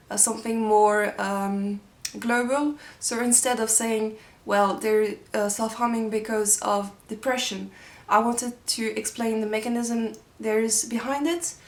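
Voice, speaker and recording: A woman's voice: on the high side, reverberant. A nineteen-year-old woman from Switzerland with a French-speaking Swiss accent, explaining things in an earnest, reassuring vlog with frequent ums. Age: young adult. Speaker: video creator.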